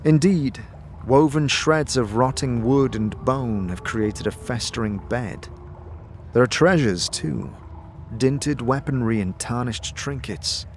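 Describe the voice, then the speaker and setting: A man narrating a fantasy audio series with theatrical, measured, suspenseful phrasing. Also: medium pitch, a little hiss or hum. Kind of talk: dramatic second-person fantasy narration. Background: loud music and sound effects.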